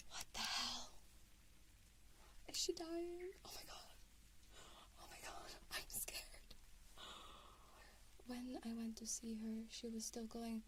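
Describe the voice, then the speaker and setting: Young female ASMR streamer, slightly high whispered voice, anxious and rattled, talks straight to her viewers. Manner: swears and repeats herself in worry. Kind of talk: live stream chat to viewers.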